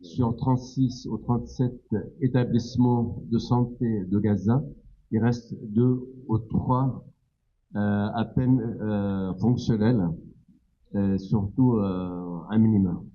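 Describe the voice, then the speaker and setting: Halting, low-voiced older man from Palestine. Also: fairly even intonation, dark tone, highs cut off. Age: middle-aged or older. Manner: halting, searching for words. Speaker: guest speaker, doctor. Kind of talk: unscripted public talk.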